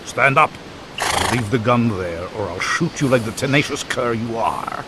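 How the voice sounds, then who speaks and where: An actor playing a suave, murderous doctor in a radio mystery, mock-courteous and gloating in a theatrical way. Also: male voice, medium pitch, expressive, moderate pace, noisy background, close to an everyday voice. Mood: gloating, menacing. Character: suave, taunting male doctor villain, swindling murderer. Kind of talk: old-time radio mystery acting.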